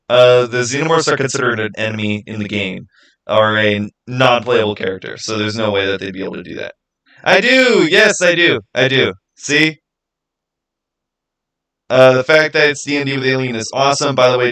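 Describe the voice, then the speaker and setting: Male streamer, mid-range voice, casual chat, halting delivery, thinking aloud, repeats phrases. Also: mid-range voice, fairly bright tone, rough recording. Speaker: livestream host. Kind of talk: casual livestream chat, answering viewer questions.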